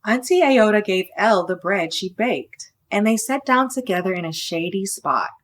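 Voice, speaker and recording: A woman's voice: mid-range voice, expressive, moderate pace. A woman reading a children's story aloud, with friendly, casual asides that explain it. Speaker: storybook reader.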